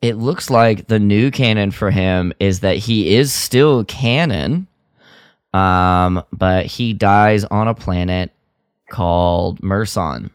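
Low-voiced man chatting, dry close sound. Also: slow pace, clean tone, dry recording. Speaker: podcast co-host. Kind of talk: casual chat about Star Wars lore.

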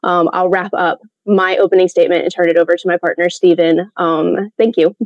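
A woman's voice: fairly low pitch, little pitch movement, a little fast, dry recording. Earnest woman on a dull, dry line. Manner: earnest, morally insistent, frequent ums. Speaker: debater. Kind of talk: debate opening statement.

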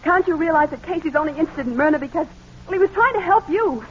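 An actress playing a spirited, quick-tongued newsman's sidekick, tart and dramatic, in a 1940s radio crime drama. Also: very high-pitched, expressive. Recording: dull, noisy old radio recording.

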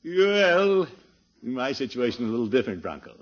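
A man's voice: medium pitch, very animated, moderate pace. An actor playing a pompous, easily flustered middle-aged uncle in a 1950s radio sitcom, blustering and drawing out his words with nervous chuckles. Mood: complacent. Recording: muffled, noisy old radio recording.

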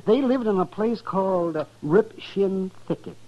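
A man's voice: high voice, lively intonation, measured pace. A man acting an older, folksy, teasing small-town doctor in a 1950s radio western, hemming and chuckling as he talks. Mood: chuckling, reminiscing. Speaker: actor playing a town doctor. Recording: muffled, noisy old radio recording.

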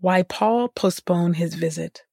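A woman reading scripture and praying aloud in an earnest, reverent, deliberate way. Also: lowish voice, gravelly, dark tone, husky, no room sound, clean studio sound. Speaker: Bible reading podcast host. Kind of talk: scripture reading and spoken prayer.

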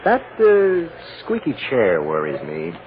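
A man acting a shrewd, assured defense lawyer in an old radio drama, deliberate and coaxing with a wry edge. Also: mid-range voice, huge pitch swings. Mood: wry, teasing. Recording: muffled, noisy old radio recording.

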